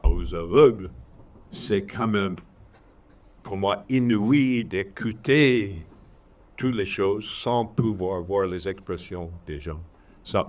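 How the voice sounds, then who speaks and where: A man giving impromptu remarks at a literary event, halting and repeating his words. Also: low voice, distant mic. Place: live literary café event. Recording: faint background noise, live event ambience.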